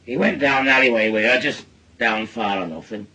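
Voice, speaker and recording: An actor playing a bitter, menacing wronged husband in a radio drama, with a Northern English accent and a goading, rambling way of telling things. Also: male voice, medium pitch, fairly quick, sharp tone, husky, low-quality recording. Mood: sardonic, bitter. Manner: goading, rambling storyteller with taunting tag questions. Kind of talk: naturalistic radio drama acting.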